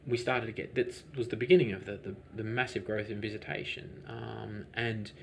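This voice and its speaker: A man's voice: on the low side, fairly even intonation, normal speed, gravelly, a little hiss or hum. A man, a business owner, talking through his story in a rambling, hesitant way, with many restarts and fillers. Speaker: lavender farm business owner. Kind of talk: conversational interview answers.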